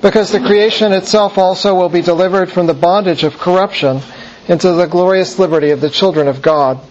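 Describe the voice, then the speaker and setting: A man teaching a Bible class, reading scripture in a measured way and then lecturing with many fillers and restarts. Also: sits high, steady tone, a little mellow, lo-fi sound.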